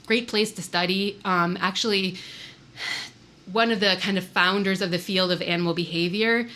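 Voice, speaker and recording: A woman's voice: mid-range voice, steady tone, moderate pace, pushed and bright. A woman raised speaking Swiss German at home, a wildlife biologist chatting as a guest in a casual interview, upbeat and easygoing. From born in Switzerland, raised in the US. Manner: chatty and upbeat, with frequent fillers.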